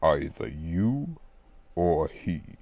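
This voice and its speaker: A woman voicing a brutal, hulking giant of a convict who talks slowly and heavily. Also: extremely low pitch, very animated, warm tone, dry recording, studio quality, voice pushed down, full character voice. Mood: menacing. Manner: slow, heavy delivery.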